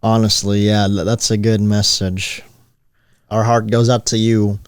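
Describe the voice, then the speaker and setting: A man's voice: fairly low pitch, flat delivery, unhurried, clean tone, dry recording. A man co-hosting a casual podcast, laid-back and slangy, tossing teasing questions at his friend. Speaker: podcast co-host.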